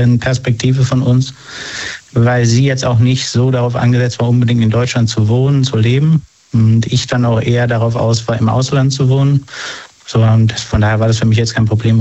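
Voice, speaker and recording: A man's voice: medium pitch, darkish tone. A man as an interview guest, answering hesitantly with many fillers and restarts. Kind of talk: casual conversational interview. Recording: dull lo-fi sound.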